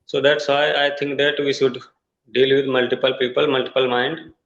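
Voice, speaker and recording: A man from India with an Indian English accent, a Hindi native speaker learning English, talking haltingly in an online tutoring conversation over a video call. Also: mid-range voice, flat delivery, fairly bright tone. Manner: halting, searching for words with many uh fillers. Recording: echoey, dull video-call audio.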